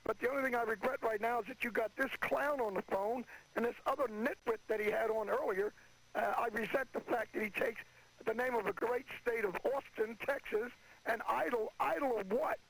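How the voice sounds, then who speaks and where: A man's voice: very high voice, brisk, vocal fry, fairly bright tone, slightly rough. A man calling a radio show as an official, speaking in an indignant, blustery, emphatic way over the telephone. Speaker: state athletic commissioner calling in. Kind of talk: phone-in radio rant. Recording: telephone call on old radio.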